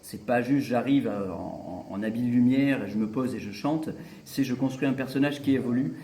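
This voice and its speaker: A man, an opera singer acting in a comedy, speaking in an interview with halting repetitions. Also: medium pitch, warm tone, roomy sound, a little hiss or hum. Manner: halting, repeats words, good-humored. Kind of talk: festival interview, casual chat.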